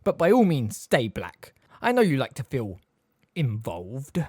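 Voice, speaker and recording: A narrator voicing a middle-aged male teacher in a storybook, smooth, sarcastic and waspish, with delicate stress on words. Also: medium pitch, sing-song, normal speed, darkish tone, no echo, clean studio sound, pitched above the performer's own voice. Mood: waspish, taunting.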